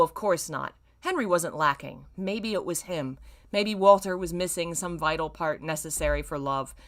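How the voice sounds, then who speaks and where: A woman's voice: fairly low pitch, a bit bright. A woman reading fan fiction aloud in a dramatic way, breaking off for casual, self-mocking asides.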